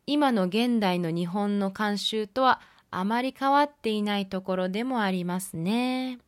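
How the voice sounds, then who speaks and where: A woman's voice: mid-range voice, no room sound. Woman narrating a film review, dry close sound. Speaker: film review podcast host.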